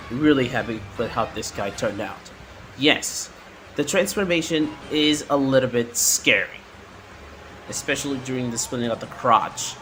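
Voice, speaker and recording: A man narrating a hands-on toy review in a halting way, often repeating his words. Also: mid-range voice.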